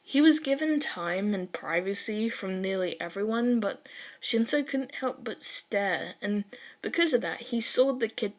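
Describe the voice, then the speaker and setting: A woman's voice: medium pitch, expressive, unhurried. Woman narrating a story expressively. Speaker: fan fiction narrator. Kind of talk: expressive amateur audiobook narration, casual swearing.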